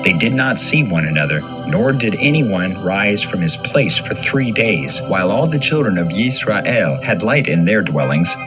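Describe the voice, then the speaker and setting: Low-voiced man narrating scripture. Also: sing-song, normal speed, hardly any pauses, over music, rough recording.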